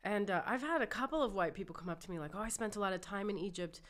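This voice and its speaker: A woman from Columbus, Ohio, a comedian guest, telling animated stories in a casual podcast chat. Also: medium pitch, racing. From Columbus, Ohio, USA. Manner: animated, laughing storyteller.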